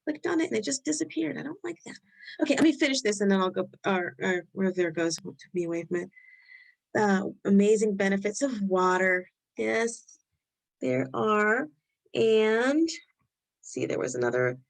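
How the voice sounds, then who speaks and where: A woman with a Rhode Island accent leading a live health webinar in a loose, conversational way, thinking aloud as she goes. Her pitch sits in a middling range for a woman. The sound is that of a computer microphone on a video call. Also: lively intonation, moderate pace, gravelly, poor audio. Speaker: webinar host.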